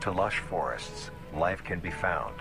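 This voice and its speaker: A man playing a solemn nature documentary narrator, reading a formal script in a measured way. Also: sits low, animated, vocal fry, full character voice. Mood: solemn, instructive. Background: background music.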